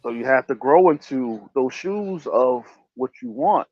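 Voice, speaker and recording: A man's voice: mid-range voice, lively intonation, a little slow, a little mellow, no room sound. A man hosting a casual talk show, giving motivational advice in an emphatic, preachy way and repeating his phrases. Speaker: podcast host. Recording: dull lo-fi sound.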